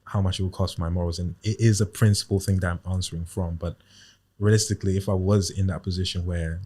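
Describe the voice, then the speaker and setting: This is a man originally from Ghana, guesting on a podcast. His voice sits very low and deep, and he talks in a measured, reflective way, weighing each thought. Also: steady tone, warm tone, slightly rough. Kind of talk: casual podcast chat.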